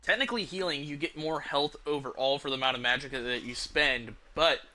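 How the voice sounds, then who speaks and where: A man giving casual, halting video game commentary as he thinks aloud. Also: fairly high pitch, sharp tone.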